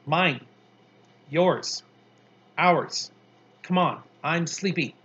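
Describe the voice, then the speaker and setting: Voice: mid-range voice, drawn-out words, fairly bright tone, breathy edge, dull audio, full character voice. A narrator voicing an angry, bossy young girl from a storybook, with snappish, emphatic scolding. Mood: bossy, insistent.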